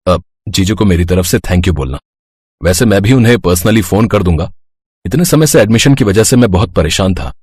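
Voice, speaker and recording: A man's voice: low-pitched, expressive, brisk, a little mellow, slightly rough, no room sound, clean studio sound. A man voicing a stern young husband in a cartoon, speaking firmly. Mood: grateful. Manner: firm, scolding.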